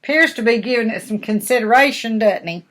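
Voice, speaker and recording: A woman's voice: on the high side, fairly quick, a bit bright. An older woman, a grandmother, reading a storybook aloud with folksy, chatty asides and questions to the listener.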